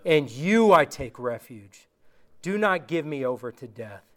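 A man preaching an informal sermon, reading scripture aloud slowly and conversationally, his voice worn from recent illness. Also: fairly high pitch, sing-song. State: recovering from illness, voice going.

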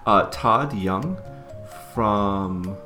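A man's voice: on the low side, drawn-out words, pushed and bright, soft background music. A man co-hosting a podcast in casual, easygoing chat with hesitant fillers and chuckles. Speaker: podcast co-host.

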